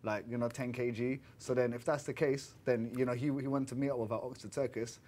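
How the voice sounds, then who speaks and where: A man's voice: mid-range voice, fairly even intonation, quick pace, warm tone. Young london guy, mid voice, slangy punchy storytelling, bit of room hum. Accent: London English. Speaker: panel guest. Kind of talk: casual group chat. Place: small studio with grey backdrop.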